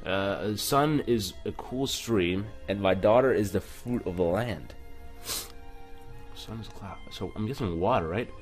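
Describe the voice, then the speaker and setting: A man's voice: fairly low pitch, unhurried, soft background music. A man hosting a let's play, casually reading game text aloud with some stumbles and commenting on it. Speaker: gaming video host.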